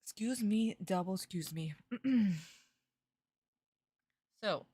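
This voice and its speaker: A woman's voice: medium pitch, takes it slowly, dark tone, breathy edge, dry recording. Exasperated sarcastic young woman streamer. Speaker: streamer reacting to a cartoon. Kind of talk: live-stream reaction chat.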